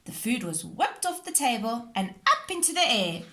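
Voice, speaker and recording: A woman's voice: a little high, sing-song, normal speed, pushed and bright. A woman reading a scripted children's story aloud as narrator. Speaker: storybook reader.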